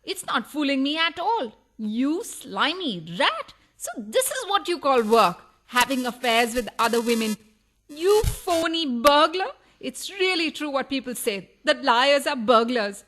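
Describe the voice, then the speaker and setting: A sharp-tongued, suspicious wife in a radio farce. She nags and accuses. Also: high-pitched, very animated, unhurried, full character voice. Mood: accusing. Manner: accusatory, nagging. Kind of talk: farcical radio play acting.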